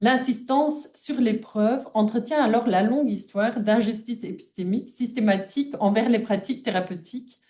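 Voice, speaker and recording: A woman's voice: on the high side, moderate pace, hardly any pauses, a little mellow. A woman who is not a native French speaker, giving a formal academic lecture read aloud from a prepared text. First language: not French (non-native speaker).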